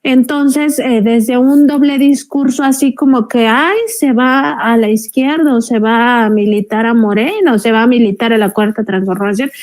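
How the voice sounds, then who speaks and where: A woman's voice: a little high, moderate pace, hardly any pauses, a little mellow. A woman with a Mexican accent speaking as a journalist in a political panel discussion, emphatic and critical, repeating herself as she builds her point.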